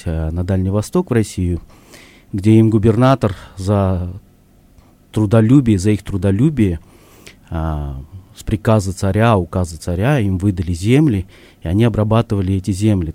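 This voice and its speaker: A man answering interview questions as a studio guest on live radio, speaking in a thoughtful, hesitant way with frequent pauses. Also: lowish voice, warm tone. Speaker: studio guest, ensemble artistic director. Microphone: studio microphone.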